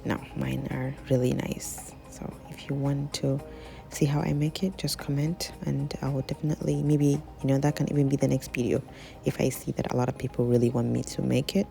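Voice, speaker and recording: Chatty low-voiced woman with gravelly fry. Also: little pitch movement, fairly quick, dark tone, faint music behind. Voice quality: husky, sounds slightly sick. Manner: chatty, giggly, talks to viewers as guys. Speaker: cooking channel host. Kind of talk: casual cooking vlog voice-over. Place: home kitchen.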